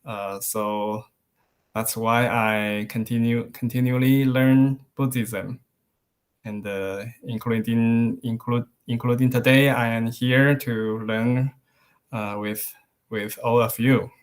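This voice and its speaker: A man's voice: medium pitch, flat delivery, slow pace, clean tone. A man from Taiwan giving a hesitant self-introduction in English at a meditation meeting, with many fillers and restarts. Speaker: meeting participant.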